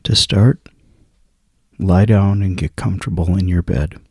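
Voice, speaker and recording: A man with a very low, creaky voice guides a sleep meditation. He is hushed and soothing, leaving long gaps between short phrases. The sound is close and dry, with a husky grain to his words. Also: unhurried, no echo.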